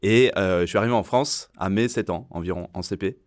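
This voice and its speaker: Man in his thirties, from Iran, deep voice, dry recording; easygoing, halting podcast guest. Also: dry recording. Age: 30s. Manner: relaxed, hesitant, many fillers and restarts. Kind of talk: casual conversational interview.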